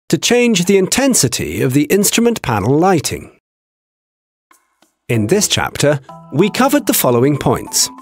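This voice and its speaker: A man giving scripted technical narration for a training video, with a measured, explanatory delivery. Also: a little high, slightly rough, dry recording, studio quality.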